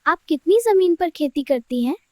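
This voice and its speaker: A woman's voice: very high voice, lively intonation, moderate pace, no echo, clean studio sound. A woman playing a polite, curious village schoolchild in an educational cartoon, asking questions carefully. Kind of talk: scripted children's educational cartoon dialogue.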